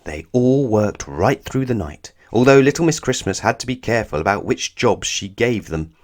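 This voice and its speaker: A man reading a children's storybook aloud as narrator, with expressive, measured phrasing. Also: lowish voice.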